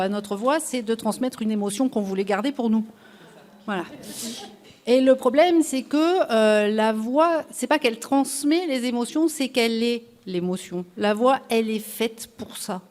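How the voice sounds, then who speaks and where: A woman's voice: on the high side, a little mellow. A woman on a festival panel explaining, in a teaching manner, how the voice carries emotion. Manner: didactic, lecturing with vivid examples. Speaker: voice specialist panelist. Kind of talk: explanatory talk on a podcast panel. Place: festival round table before an audience.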